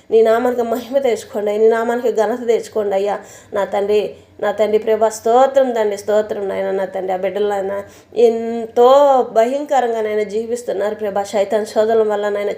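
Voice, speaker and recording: Woman, fervent intercessory prayer, rapid delivery, mellow tone, pleading, repetitive invocations. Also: fairly high pitch. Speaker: prayer leader.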